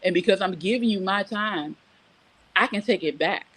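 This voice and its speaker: A middle-aged woman hosts a casual live show in a medium-pitched voice. She speaks frankly and emphatically, like someone giving advice or telling a story to regular viewers. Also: moderate pace, fairly bright tone, poor audio. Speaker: live morning show host. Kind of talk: casual chat, reading viewer comments and telling personal stories.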